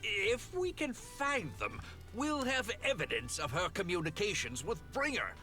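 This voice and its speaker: A flustered figurehead executive with a high, very sharp voice that swoops about. He pleads and protests with over-dramatic earnestness in video game style. Music runs underneath. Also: sing-song, unhurried, exaggerated voice. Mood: desperate, indignant. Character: flustered, whiny, earnest figurehead company boss. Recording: music behind the voice. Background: background music.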